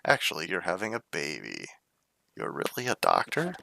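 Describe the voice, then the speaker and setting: A man's voice: deep voice, huge pitch swings, moderate pace, gravelly, bright, forceful tone, slight background noise. A man streaming a game, reading its text aloud in many voices and chatting playfully about his choices. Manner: reads game characters' lines in varied voices, playful and chatty.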